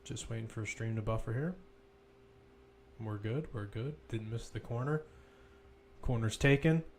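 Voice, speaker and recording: A man's voice: medium pitch, moderate pace, a little mellow, slight background noise. A man commentating live on a football match, mixing play-by-play calls with informal, conversational analysis.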